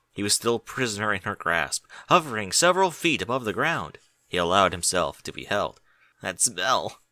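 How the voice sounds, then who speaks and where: Male audiobook narrator, mid pitch, very lively intonation, dramatic phrasing, close dry sound. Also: no room sound. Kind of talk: expressive scripted story narration.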